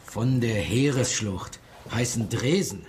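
An actor playing a dry, sardonic tax official in a radio drama. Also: male voice, mid-range voice, animated, takes it slowly, darkish tone. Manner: dry, sardonic, matter-of-fact. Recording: radio drama with clear noise.

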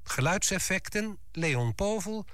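A man announcing a radio drama and reading out its credits in a formal, deliberate manner. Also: high voice, expressive, a bit bright, no room sound, studio quality.

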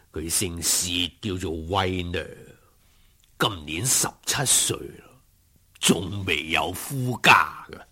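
A genial old gentleman from a storybook, with a deep voice far below normal register. The words come slowly and courteously, with an old-world politeness and a husky grain. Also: full character voice. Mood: genial, proposing. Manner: unhurried, old-fashioned courteous speech. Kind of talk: storybook character acting.

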